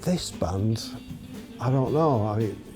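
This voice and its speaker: A man in his fifties with a fairly low voice talks thoughtfully, pausing as he goes, in a documentary interview. Clear music runs beneath him. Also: animated. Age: 50s. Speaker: band bassist. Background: music bed.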